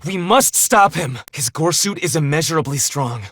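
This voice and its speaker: An actor playing an earnest, remorseful, devoted husband in a dramatic video game, with formal, measured, solemn phrasing. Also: male voice, on the high side, animated, a bit bright, dry recording, studio quality. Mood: resolute.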